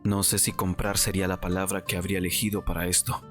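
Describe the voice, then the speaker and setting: A man acts out a young nobleman prince in an audiobook story. His voice is extremely low, and he sounds puzzled and questioning. Soft music plays behind him. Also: level tone, fast. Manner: questioning, bewildered. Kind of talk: audiobook character dialogue. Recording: music under the voice.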